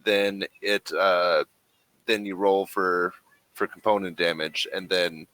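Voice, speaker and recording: A man with a low, bright and forceful voice runs a tabletop game. He talks casually in halting stretches, working out the rules aloud as game master. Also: fairly even intonation, dry recording.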